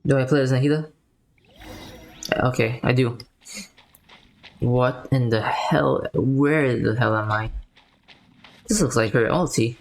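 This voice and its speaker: A woman's voice: extremely low pitch, slow pace, pauses often. A woman game streamer reacting casually to a game in an informal, slangy way.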